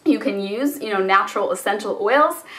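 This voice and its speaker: A young woman with a hoarse, cold-worn voice chatting casually to camera about home scents, with plenty of fillers. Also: medium pitch, normal speed, bright, forceful tone, a little hiss or hum. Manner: chatty, lots of fillers like you know and um. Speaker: beauty and home vlogger. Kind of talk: casual product chat to camera.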